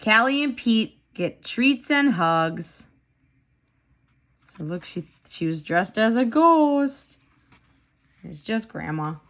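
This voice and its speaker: A woman's voice: a little high, takes it slowly, pauses often. A woman reading a children's storybook aloud expressively, asking questions of young listeners in a dramatic manner. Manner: animated, with dramatic gasps and simple clear phrasing.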